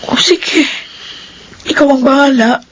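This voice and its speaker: A woman's voice: sits high, measured pace, a little hiss or hum. A woman acting a loving, apologetic middle-aged mother in a Filipino radio drama, with a tender maternal manner. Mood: accepting.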